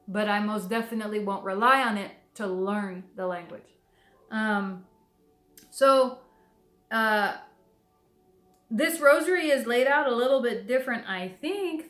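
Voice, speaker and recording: Woman rambling along to her camera, kinda high voice, lots of ums and restarts, echoey room. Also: a bit bright. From southern Texas near the Mexican border. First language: English. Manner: chatty, many fillers and restarts. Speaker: devotional video creator. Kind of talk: casual talk to camera.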